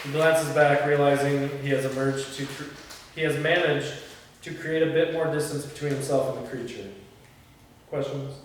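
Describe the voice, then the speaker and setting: A man at a table read, moving between narrated stage directions and casual discussion. His voice is mid-pitched and picked up from a distance, with long reverb around it. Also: fairly even intonation, normal speed. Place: indoor room, reverberant, mic at a distance. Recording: echoey room from far off, faint noise.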